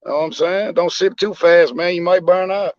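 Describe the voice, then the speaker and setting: A man's voice: high voice, steady tone, normal speed, dry recording, highs cut off. A man co-hosting a casual boxing chat, slangy and teasing, repeating his phrases. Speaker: boxing podcast co-host.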